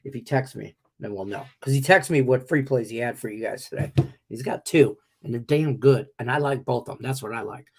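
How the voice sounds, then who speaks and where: A man's voice: medium pitch, a little fast, darkish tone, breathy edge. Congested, joking middle-aged man. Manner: loose and joking, rambling with many fillers. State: congested with a cough, voice a bit cracky. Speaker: sports betting show host. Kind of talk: casual live-stream chat.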